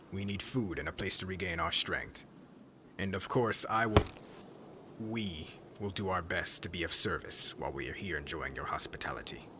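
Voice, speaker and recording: A man playing a stern, menacing witch hunter in an ASMR roleplay, speaking formally and commandingly, often in a hushed, threatening way. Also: sits low, natural voice. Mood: courteous, firm. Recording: clearly noisy ambient background.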